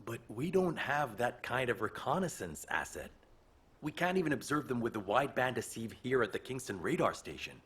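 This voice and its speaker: Husky, skeptical military engineer. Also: male voice, medium pitch, big pitch swings, brisk, a bit bright. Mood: incredulous. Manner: matter-of-fact, argumentative. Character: skeptical, practical military engineer, a grown man. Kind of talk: video game voice acting.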